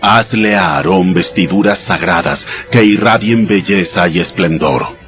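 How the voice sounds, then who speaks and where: A man voicing God in a dramatized audio Bible, giving sacred commands in a measured, solemn, instructive way. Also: deep voice, fairly bright tone. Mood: solemn, commanding. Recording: studio voice over background music.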